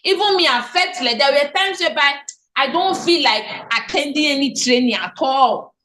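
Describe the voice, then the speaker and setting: A woman's voice: sits high, expressive, moderate pace, pushed and bright. A woman with a Nigerian accent leading an online training, repeating points and answering her own questions. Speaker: team leader. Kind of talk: motivational business training. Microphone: online meeting call. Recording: echoey room on a call.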